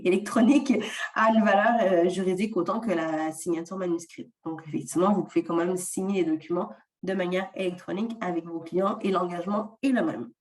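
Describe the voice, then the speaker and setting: A woman's voice: mid-range voice, a little mellow, far from the mic. Woman presenter with a faint accent, roomy. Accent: non-French francophone. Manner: explanatory, frequent fillers. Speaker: workshop presenter. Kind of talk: online workshop presentation.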